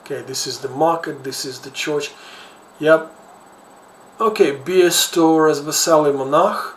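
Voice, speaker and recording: A man's voice: a little high, measured pace. A middle-aged man from Ukraine with a Ukrainian accent gives informal, rambling commentary, repeating words as he searches for his phrasing. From Kherson, Ukraine. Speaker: social commentator, host. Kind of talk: informal off-the-cuff news commentary. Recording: echoey room, distant mic, faint noise.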